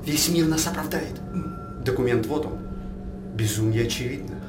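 An actor playing a resolute, plotting court dignitary in a theatrical radio play, speaking gravely and persuasively. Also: male voice, mid-range voice, moderate pace, natural voice. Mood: conspiratorial. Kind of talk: theatrical verse radio drama acting. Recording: echoey, distant microphone, music behind.

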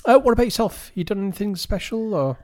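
A man's voice: sits high, animated, quick pace, darkish tone. A man in his forties, co-hosting a podcast in casual chat, stammering a little on restarts. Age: 40s. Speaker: podcast co-host.

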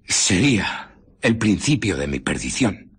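Embittered fugitive scientist, very low voice, heavily husky and breathy, deliberate dramatic pauses, secretive, radio play. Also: male voice, very animated, exaggerated voice. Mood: brooding. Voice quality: husky, breathy voice slipping into whispers. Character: Griffin, embittered invisible man scientist recounting his furtive exploits. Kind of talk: radio drama narration and dialogue.

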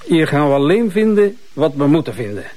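An actor playing a brisk, wry investigator in a TV crime drama. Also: male voice, a little high, very animated, dark tone. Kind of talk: naturalistic TV crime drama acting.

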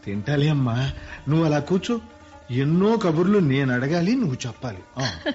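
Guy doing a soft-spoken son back home, a bit high, dull radio sound, faint music. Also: big pitch swings, normal speed. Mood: affectionate. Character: grown son returned home, gentle and warm. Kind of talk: radio play acting.

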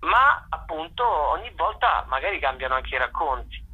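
A man speaking as a theatre guest in an informal radio interview, explaining enthusiastically in halting, repeated phrases. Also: fairly high pitch, very animated, slightly rough, narrow, tinny audio.

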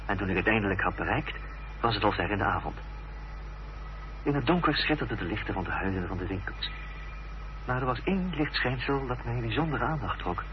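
Actor as an earnest storyteller, muffled old recording. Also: male voice, on the low side, animated, a little fast, pauses often, background noise, lightly acted. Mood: suspenseful. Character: earnest gentleman narrating his own suspenseful story. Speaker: narrator. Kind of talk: dramatic scripted narration in a radio play. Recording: dull, faintly humming old radio recording.

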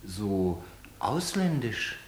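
A man's voice: fairly low pitch, big pitch swings, extremely slow, full character voice. A man acting a naive, deferential, hesitant household servant in a German radio play, heard from a distance. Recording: echoey, distant, faint noise.

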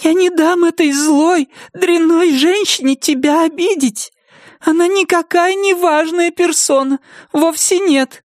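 A woman voicing a timid, high-strung older woman with a high, thin, trembling voice, shrieking in panic. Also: measured pace, no echo, studio quality. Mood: tearful defiant. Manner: shrieking, panicked, near tears. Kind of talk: audiobook character voice.

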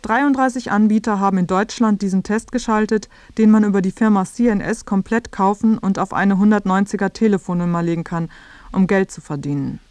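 A woman's voice: mid-range voice, brisk, a little mellow, dry recording. A woman narrating a radio feature from a script in a factual, explanatory way. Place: dry studio.

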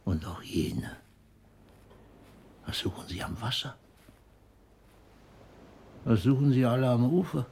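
An older man acting in a radio play as an ailing old poet, grave and lyrical, declaiming and murmuring in halting phrases. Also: mid-range voice, animated, creaky, darkish tone, slight background noise. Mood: searching, hushed.

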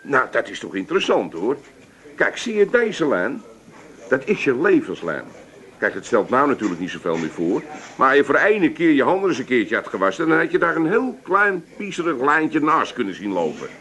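A man's voice: mid-range voice, very animated, moderate pace, a bit bright. A man playing a glib, cheeky pub chancer with an Amsterdam accent in a comic radio play, persuasive and emphatic. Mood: teasing.

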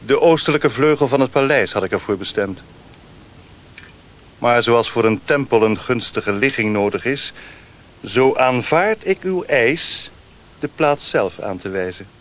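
A man playing a courteous, commanding Chinese lord in a radio play, speaking in a formal, measured, stately manner. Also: mid-range voice, lively intonation, a bit bright. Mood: gracious. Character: courteous, commanding Chinese lord, curious about Western science. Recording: hissy old radio play recording.

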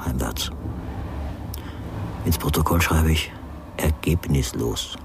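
An actor playing a brooding, cynical writer who muses slowly and sardonically to himself in a radio drama. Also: male voice, a bass voice, noisy background, heavily acted. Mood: sardonic, musing. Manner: slow, sardonic inner monologue.

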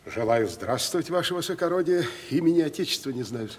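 Husky, wily old-time merchant. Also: male voice, fairly high pitch, animated, fairly quick. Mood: gruff, wary. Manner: folksy, mock-deferential haggling. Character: sly, stubborn provincial timber merchant, a stern father. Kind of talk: 1970s theatrical radio play acting. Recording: reverberant 1970s radio play studio.

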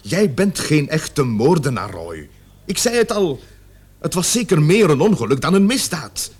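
A commanding police interrogator in a radio drama. His higher-pitched male voice swings widely as he presses his points with stern, theatrical emphasis. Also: very animated, measured pace, a little mellow, breathy edge, exaggerated voice. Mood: earnest, persuasive. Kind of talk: radio play acting.

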